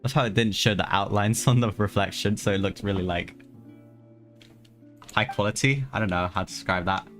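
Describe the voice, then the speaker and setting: A woman's voice: very deep voice, brisk. Slangy, exclamatory woman streaming a game. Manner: rambling, exclamatory, slangy, muttering reactions. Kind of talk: casual live gameplay commentary. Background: game music.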